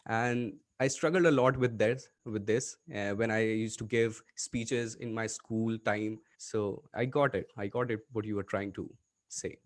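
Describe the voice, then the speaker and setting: A man's voice: fairly low pitch, steady tone, a little slow. A man whose first language is Hindi, hosting a podcast and asking questions hesitantly, with many fillers. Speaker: podcast host. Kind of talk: casual podcast interview.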